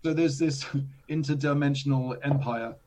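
A man, a slightly hoarse guest chatting casually about tabletop games, with self-deprecating asides. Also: mid-range voice, moderate pace, a crowd in the background. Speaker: guest, tabletop game designer. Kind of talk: casual interview chat.

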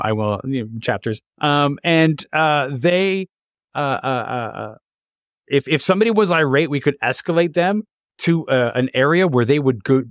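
A man speaking as a podcast host in a casual interview, with halting, searching phrasing. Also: medium pitch, no echo, studio quality.

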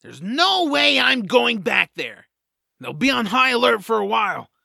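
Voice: mid-range voice, very animated, a little slow, creaky, pushed and bright, no echo, studio quality, heavily acted. A performer voicing a scrappy little troll, an anxious and reluctant hero who grumbles, in an audio drama. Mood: grumbling.

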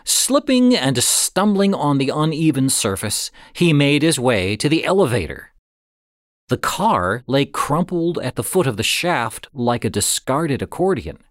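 Male audiobook reader, dramatic short story narration, very animated intonation, suspenseful delivery, dry recording. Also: medium pitch, a little slow, dry recording.

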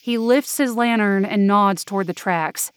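A woman's voice: medium pitch, a little slow, clear, resonant tone, no room sound. A woman narrates a dramatic first-person story in an earnest, suspenseful way. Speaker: narrator of a fiction podcast, in character. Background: music under the voice.

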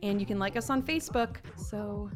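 A young woman co-hosting a casual podcast, chatty and emphatic with youthful slang, her voice a bit lowered as she recovers from flu. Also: moderate pace, music in the background. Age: young adult. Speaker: podcast co-host.

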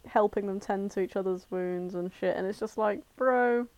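A woman's voice: mid-range voice, normal speed, darkish tone, no room sound. A woman, co-hosting a casual podcast, blunt in her remarks and swearing freely. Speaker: podcast co-host.

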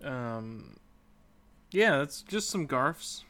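A man's voice: medium pitch, sing-song, extremely slow, bright, forceful tone. A man co-hosting a podcast, chatting casually about a video game. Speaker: podcast co-host.

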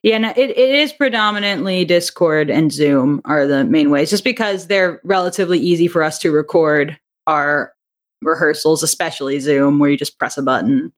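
A woman speaking as a podcast guest in a conversational interview, telling things in a chatty, animated way. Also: sits low, normal speed. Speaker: podcast guest, audio drama writer and director.

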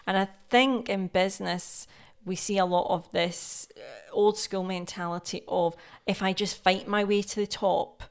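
Woman, Scottish accent, podcast co-host, casual business chat, reflective, middling pitch. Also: steady tone, moderate pace, clean tone. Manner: chatty, reflective, affirms often.

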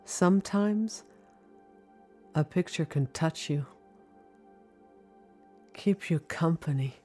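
A woman's voice: deep voice, darkish tone, breathy edge, faint music behind, full character voice. An actress playing a reflective, lonely woman narrating memories of her childhood in an audio drama. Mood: wistful. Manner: wistful, deliberate. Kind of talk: scripted first-person dramatic narration.

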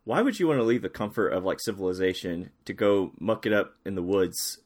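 A man's voice: low voice, animated, brisk, darkish tone. A man co-hosting a casual movie podcast, hesitant and restarting his sentences often. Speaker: podcast co-host. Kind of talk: casual movie-review chat.

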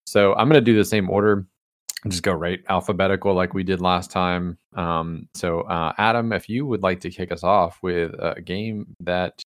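Deep-voiced man hosting a chat. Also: normal speed, dry recording, studio quality. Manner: relaxed, rambling, joking. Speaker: podcast host. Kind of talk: casual chat.

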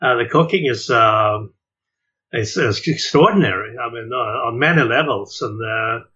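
An older man from Sweden recalls his life in a relaxed interview. His voice is bright and forceful, and he stumbles through fillers and restarts. The audio sounds dull and lo-fi. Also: medium pitch, moderate pace. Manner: hesitant, many uh and repeated words. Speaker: jazz clarinetist guest.

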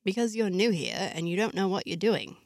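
A man's voice: sits high, lively intonation, fairly quick, dry recording, clean studio sound. A woman voicing a mischievous young male shapeshifter who talks in a teasing, knowing way.

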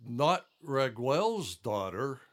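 A man's voice: mid-range voice, big pitch swings, very slow, a bit bright, heavily acted. An actor playing a stubborn, devout old blind father in an audio drama. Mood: questioning, resigned.

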